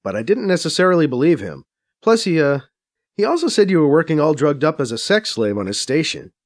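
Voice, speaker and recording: A man reads a nervous male fugitive in an audiobook, in a voice close to his own, fairly high and very lively in pitch. He hesitates, restarts and stammers. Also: brisk, no room sound. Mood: uneasy.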